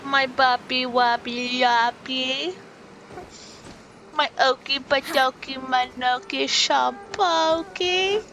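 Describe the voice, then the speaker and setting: A woman streaming a video game with a slightly high voice. She reacts casually in a playful, informal and sweary way, with soft game music in the background. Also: fairly even intonation, takes it slowly, lo-fi sound.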